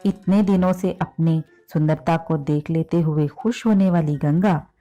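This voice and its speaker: A woman's voice: low voice, measured pace, mellow, dull audio, faint music behind. A woman storyteller reading a scripted children's moral story.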